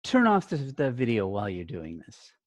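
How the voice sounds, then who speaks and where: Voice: mid-range voice, wildly swooping pitch, normal speed, no room sound. A language teacher giving step-by-step activity instructions in short, simple sentences with check questions.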